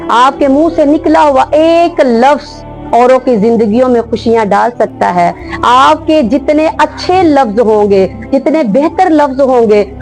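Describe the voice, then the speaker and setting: Higher-voiced woman giving a religious lecture. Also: darkish tone, poor audio. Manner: rhetorical, builds repeated parallel phrases. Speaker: Islamic teacher.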